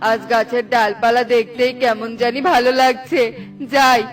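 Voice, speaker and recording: Woman as a high-voiced, excitable pigeon woodcutter. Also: normal speed, soft background music, lightly acted. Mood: delighted. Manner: exclamatory, earnest. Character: good-hearted, excitable male pigeon woodcutter. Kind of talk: cartoon voice acting.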